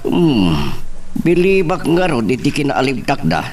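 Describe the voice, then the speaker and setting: An actor as a suspicious Ilocano husband in an audio drama. His mid-pitched voice is very animated and a little away from the mic. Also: unhurried, recorded from a distance, noisy background. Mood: pondering. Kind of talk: Ilocano radio-style drama acting.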